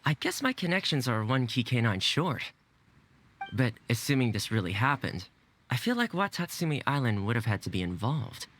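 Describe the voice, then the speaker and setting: A man playing a friendly, easygoing, caring young man in a video game, amiable and gently joking. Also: medium pitch, big pitch swings, normal speed. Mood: musing.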